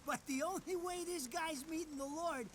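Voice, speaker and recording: Shrill wisecracking wiseguy. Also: male voice, normal speed, fairly bright tone, slight background noise. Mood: cocky, griping. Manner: wisecracking, whiny, profane, slangy. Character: squat, nervous, foul-mouthed wiseguy henchman, talkative and cowardly. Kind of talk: animated video game cutscene acting.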